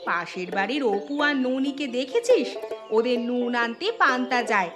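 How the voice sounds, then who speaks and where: A woman's voice: fairly high pitch, expressive, normal speed, music in the background, lightly acted. A woman voicing a mean-tempered, scornful auntie and mother in a cartoon story, nagging sharply.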